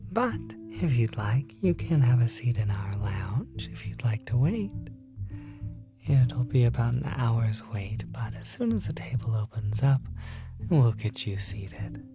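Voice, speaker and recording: Performer as restaurant host, polite, apologetic, hushed, audio roleplay, music behind. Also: medium pitch. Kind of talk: audio roleplay addressed to the listener.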